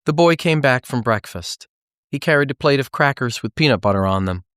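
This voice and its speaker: A man narrating a children's novel as an audiobook, with expressive storytelling delivery. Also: medium pitch, fairly quick, clean tone, no room sound, studio quality.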